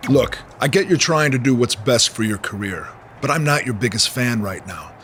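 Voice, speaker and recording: An actor playing an irritated, menacing wrestling boss in a video game cutscene, speaking curtly. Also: male voice, medium pitch, expressive, normal speed, fairly bright tone, a little hiss or hum, lightly acted. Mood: stern, irritated. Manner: curt, threatening.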